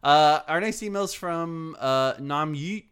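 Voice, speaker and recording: A man's voice: fairly high pitch, measured pace, a bit bright, smooth. Man hosting a gaming podcast, rambling. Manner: rambling, many fillers and restarts; casual swearing. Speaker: podcast host. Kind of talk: casual chat, reading listener emails.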